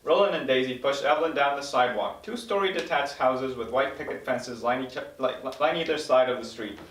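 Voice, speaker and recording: A man's voice: medium pitch, fairly quick, a bit bright. A man reading stage directions as the narrator in a scripted table read. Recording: roomy recording, mic at a distance.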